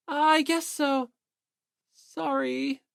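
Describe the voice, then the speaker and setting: Timid teenage boy, high pitch, hesitant, apologetic, easily hurt, audiobook character, dry recording. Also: fairly even intonation, extremely slow, vocal fry, fairly bright tone, no room sound, exaggerated voice. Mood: timid, crestfallen.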